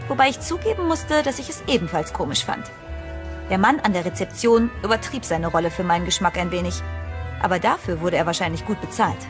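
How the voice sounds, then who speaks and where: An actress playing a sensible, uneasy young heroine in a horror audio drama, earnest and measured. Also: female voice, on the low side, lively intonation, over music, lightly acted. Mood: reflective, uneasy.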